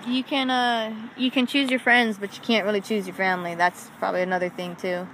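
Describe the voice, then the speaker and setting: A woman's voice: medium pitch, steady tone, a little fast, fairly bright tone, slight background noise, low-quality recording. A young woman with a Mexican-American accent, joking playfully in a casual group chat.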